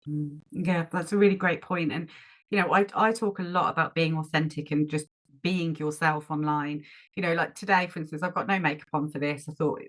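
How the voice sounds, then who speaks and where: A woman's voice: low voice, fairly even intonation, brisk. A woman hosting a podcast, chatting agreeably and asking leading questions. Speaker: podcast host. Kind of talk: casual interview talk.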